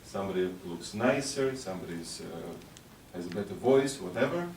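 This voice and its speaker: A man speaking to a youth conference, encouraging and halting as he gives a motivational talk and questions the audience. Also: deep voice, lively intonation, a little mellow, far from the mic. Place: large echoing hall at a conference ceremony. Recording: echoey hall from afar, background noise.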